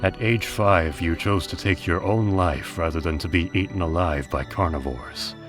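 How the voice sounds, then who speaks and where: A cold middle-aged business patriarch from an anime drama, acted by a man, speaks with formal restraint. His voice sits very low and gives little away, over clearly audible music. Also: normal speed, natural voice. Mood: cool, measured. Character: cold, detached middle-aged male deer business magnate and father. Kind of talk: dramatic anime fan dub acting.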